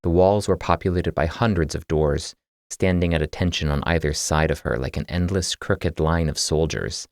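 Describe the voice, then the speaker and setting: Deep-voiced male audiobook narrator. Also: normal speed, no echo, studio quality. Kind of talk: scripted fiction narration.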